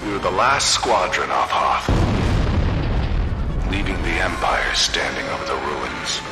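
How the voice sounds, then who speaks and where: An actor playing a battle-weary rebel squadron veteran who recounts his war in grave, measured narration for a game. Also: deep voice, expressive. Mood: grim, reflective. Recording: echoing hall reverb, loud music and battle sounds.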